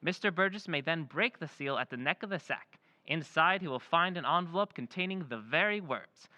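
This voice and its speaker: Actor as a mysterious stranger in a radio play, formal and measured, bright tense voice, a bit high. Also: male voice, animated. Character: mysterious male stranger dictating a letter, formal. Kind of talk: old-time radio play acting.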